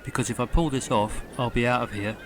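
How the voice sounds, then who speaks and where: A man plays a dry castaway rocket builder in a comedy audio drama. His voice is mid-pitched and matter-of-fact, with faint noise behind it. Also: fast, fairly bright tone, lightly acted. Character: matter-of-fact male castaway who built a homemade rocket.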